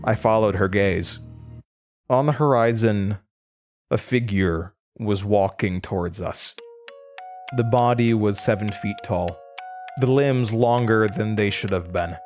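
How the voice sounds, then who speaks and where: Man acting a haunted young storyteller, lowish voice, over music. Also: a little slow, darkish tone, natural voice. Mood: dread. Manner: dramatic, haunted, stammers under stress. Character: shaken young male college student recounting a horror. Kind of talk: horror audio drama first-person narration, acting out dialogue. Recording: music bed under the voice.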